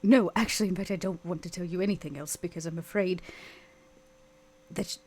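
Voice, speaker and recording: A woman playing a curt, exasperated vampire boss in a tabletop game, with clipped, withering delivery and a husky voice. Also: fairly low pitch, fairly even intonation, brisk, darkish tone, lightly acted.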